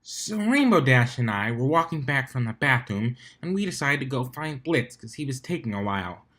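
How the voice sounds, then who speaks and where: A man voicing a brash female pegasus stunt flyer, gossiping in excitable slang for an amateur fan fiction reading. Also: mid-range voice, normal speed. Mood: gossipy. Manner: excitable, slangy storytelling with many likes.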